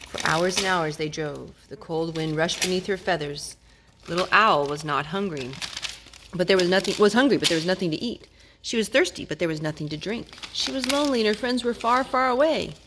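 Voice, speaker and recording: A woman's voice: fairly low pitch, brisk, noisy background. A woman reading a children's storybook aloud as a storyteller, with dramatic, emphatic phrasing.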